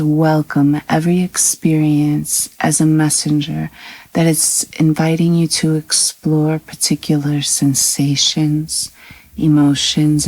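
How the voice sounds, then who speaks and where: A woman with a low voice guides a scripted meditation. She speaks very slowly and evenly, with little rise or fall. Her manner is calm and soothing, with long pauses between phrases. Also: no echo, low-quality recording.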